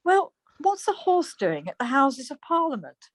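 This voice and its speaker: A woman plays a chirpy but easily huffy wife in a domestic radio play. Her voice sits fairly high and moves up and down freely, with natural, retorting delivery. It is recorded close, without room echo. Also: very animated. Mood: sullen, puzzled. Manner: chirpy, indignant, quick to retort. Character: Margaret, bright, stubborn, easily huffy wife in lockdown.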